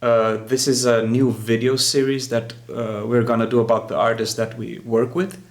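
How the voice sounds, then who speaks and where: A man hosting and explaining music production in casual talk, halting as he searches for words. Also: medium pitch, level tone.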